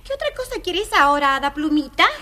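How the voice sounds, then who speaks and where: Shrill, insolent lazy girl. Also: big pitch swings, fast, sharp tone, full character voice. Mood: insolent, scornful. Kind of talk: dramatized children's story record.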